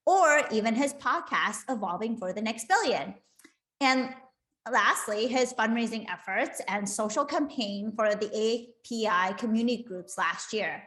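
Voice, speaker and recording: A woman with a somewhat high-pitched voice hosts a live online interview. She speaks conversationally, and the room around her adds clear echo to her voice. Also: fairly bright tone. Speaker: host and interviewer. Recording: roomy, echoing room sound.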